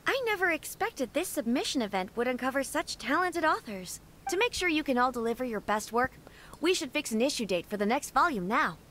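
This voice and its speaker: A woman playing a bright, enthusiastic young woman in a fantasy video game, with an expressive delivery. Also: high-pitched, fairly quick, a little hiss or hum. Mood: delighted.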